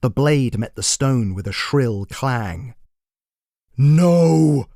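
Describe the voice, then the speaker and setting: A man narrating a dramatic scripted fantasy story with measured, deliberate phrasing. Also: mid-range voice, no echo, clean studio sound. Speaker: author and narrator.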